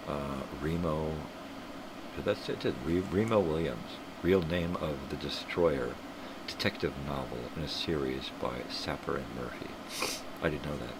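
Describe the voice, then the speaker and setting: An older man hosting a live stream, rambling through casual, profane tangents while sniffly from a cold. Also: extremely low pitch. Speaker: livestream host. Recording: clear background hum.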